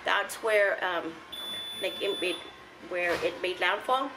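A middle-aged woman from South Texas chatting casually to a handheld camera in her home kitchen, relaxed and full of ums and likes. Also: lowish voice, a little slow, over music, rough recording. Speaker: vlogger. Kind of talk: casual home vlog chat. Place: home living room and kitchen, indoors. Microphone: handheld camera close to face.

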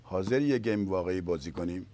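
A man voicing a sad, lonely dragon who wants a tennis partner, speaking quickly and eagerly. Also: low voice, darkish tone, slight background noise, natural voice.

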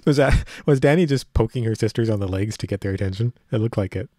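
A man's voice: fairly low pitch, quick pace, mellow. A man with a headset mic hosting a reaction video, chatting casually and chuckling along. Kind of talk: casual commentary over a played video.

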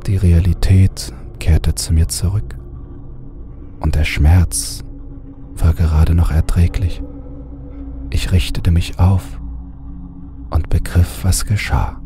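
A man narrates a horror audiobook in a very deep voice. He takes his time over each line and keeps it hushed, often slipping into a breathy half-whisper. Music plays clearly under him. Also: steady tone, slow, long pauses. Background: music bed.

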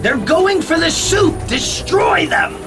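An actor playing a ruthless, imperious villain who gives orders in an audio drama. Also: male voice, very high-pitched, big pitch swings, takes it slowly, loud music behind the voice, full character voice. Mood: angry, commanding. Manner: imperious, shouting. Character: ruthless, commanding male villain barking orders to kill.

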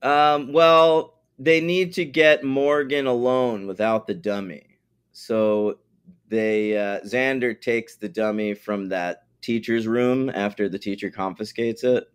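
A man's voice: mid-range voice, fairly even intonation, slow pace, clear, resonant tone. A man co-hosting a podcast, bantering informally and conversationally about TV episodes. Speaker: podcast co-host.

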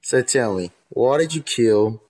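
An actor playing a weary, embittered special agent in a modern radio play, blunt and exasperated. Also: male voice, medium pitch, expressive, a little slow, darkish tone. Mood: weary, shaken.

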